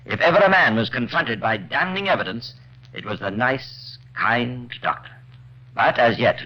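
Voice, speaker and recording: A man narrating a 1950s radio crime drama in a deliberate, suspenseful scripted style. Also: mid-range voice. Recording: muffled old radio recording.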